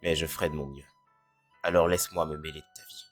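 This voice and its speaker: A performer voicing a cynical, self-deprecating teenage boy in a French fan dub who speaks in a deadpan way. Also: very deep voice, fast talker. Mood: earnest. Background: faint music.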